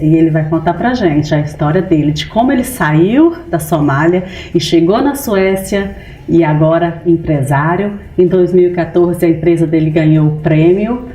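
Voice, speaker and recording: A woman's voice: lowish voice, mellow. A woman hosting an interview, enthusiastic and prompting her guest to tell his story. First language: Portuguese. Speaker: interviewer, host.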